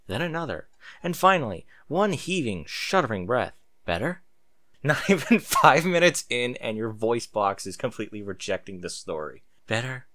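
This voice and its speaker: A man reading a story aloud as narrator, in an expressive style with candid asides. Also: mid-range voice, no room sound, clean studio sound. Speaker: fan fiction narrator.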